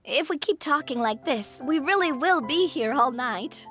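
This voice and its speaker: An actress playing a cheerful, curious young woman in a video game, friendly, tactful and expressive. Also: sits high, normal speed, faint music behind. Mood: awkward, tactful. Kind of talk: scripted video game dialogue.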